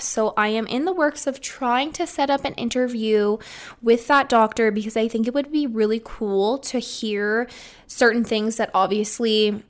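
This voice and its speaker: A young woman hosting a solo podcast, talking casually in an upbeat, rambling way with a slightly congested voice. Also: on the high side. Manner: chatty and upbeat, rambling with restarts. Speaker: podcast host.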